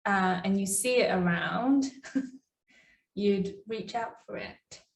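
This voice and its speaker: A woman's voice: medium pitch, slow. Woman, mid-range voice, casual health talk co-host, reflective and a little hesitant, captured in a reverberant room off the mic. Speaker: doctor co-hosting a health talk. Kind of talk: casual conversational discussion. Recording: echoey room, distant mic.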